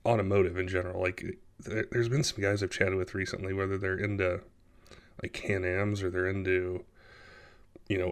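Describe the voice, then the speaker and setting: A man hosting a podcast, asking questions in a halting way full of fillers. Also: low-pitched. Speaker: podcast host. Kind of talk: casual interview chat.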